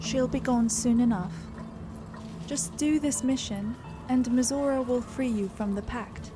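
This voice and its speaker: A woman's voice: a little high, measured pace, over music, natural voice. A woman voicing a composed, assured female elf adventurer in a fantasy game. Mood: reassuring.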